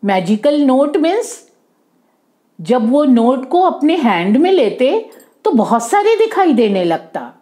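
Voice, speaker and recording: A woman's voice: high voice, big pitch swings, slow, mellow. A woman telling a moral story to children, often rephrasing herself and mixing in English words. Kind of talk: oral moral storytelling for kids.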